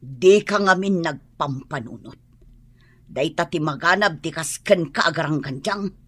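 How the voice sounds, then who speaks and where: A woman's voice: low-pitched, a little slow, fairly bright tone, slightly rough, no echo, heavily acted. A woman playing a stern, middle-aged scolding mother in an Ilocano radio drama. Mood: scolding, exasperated.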